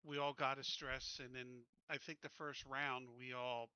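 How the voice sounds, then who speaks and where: Man with a very bright, sharp voice. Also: medium pitch, level tone, moderate pace, clear, resonant tone, no room sound, lo-fi sound. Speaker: tabletop game player. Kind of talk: casual game chat.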